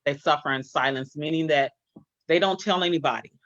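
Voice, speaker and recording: A woman hosting an online interview show, warm and encouraging, who calls her guest "sis". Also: low voice, fairly quick, dry recording. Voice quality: speaks from personal experience of hair loss. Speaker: host. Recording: dry, muffled sound.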